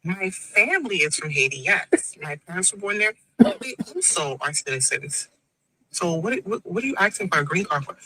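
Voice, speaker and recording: A West Indian woman rants into her phone, cursing hard and playing every person in her story. Her voice is sharp and very bright, and it swings high and low. The sound is dry and close. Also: very animated, normal speed, dry recording, low-quality recording. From West Indies. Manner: profane, emphatic, acts out each person in the story. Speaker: woman in a played social media clip. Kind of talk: angry storytelling rant.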